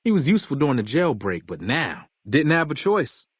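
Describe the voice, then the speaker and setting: An American actor playing a bitter, hot-tempered convict gang leader in a video game, gruff, profane and commanding. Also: male voice, mid-range voice, big pitch swings, brisk, dry recording, clean studio sound. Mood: bitter, scornful. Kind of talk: video game character dialogue.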